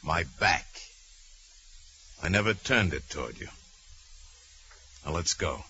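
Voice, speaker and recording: An actor playing a calm, bold man who negotiates with armed convicts, firm and persuasive, in a 1940s radio drama. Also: deep voice, takes it slowly, heavily acted. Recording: muffled, noisy 1940s radio recording.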